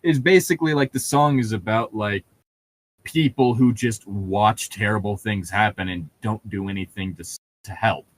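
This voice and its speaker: A man's voice: on the low side, moderate pace. A man in a casual, opinionated chat, talking in a rambling, sweary way.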